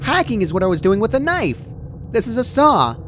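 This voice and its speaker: Man doing a high, perky, menacing girl pony. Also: very animated, brisk, a bit bright. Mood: playful. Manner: perky, scolding, sing-song matter-of-fact chatter. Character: cheerful, childishly chatty, menacing female pony. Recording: clearly noisy home recording.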